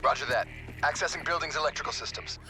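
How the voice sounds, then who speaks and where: An actor playing a brash, decisive special forces leader in a military video game, giving clipped tactical orders. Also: male voice, a little high, fast talker, lightly acted, rough recording. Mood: controlled. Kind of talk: video game combat voice acting. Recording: loud music and gunfire over voice.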